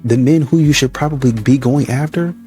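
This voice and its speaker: Man, reaction host, mocking rant, mellow tone, faint music behind. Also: medium pitch, fairly quick. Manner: mocking, animated, acts out quotes. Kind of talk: ranting commentary.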